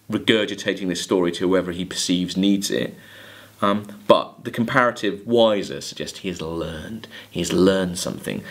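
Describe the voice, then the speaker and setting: A man giving a literature lecture, emphatic, halting and deliberate as he corrects himself. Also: low voice. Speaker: college English literature lecturer. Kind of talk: lecture with quoted lines of verse. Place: indoors, recorded video lecture at a desk.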